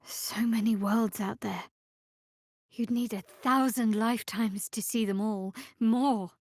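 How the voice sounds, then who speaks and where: An actress playing a guarded, sardonic young female cleric of a dark goddess in a fantasy video game, speaking in a measured, dryly wry way. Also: fairly high pitch, fairly even intonation, dry recording. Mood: reflective, solemn. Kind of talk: video game voice lines, dramatic fantasy acting.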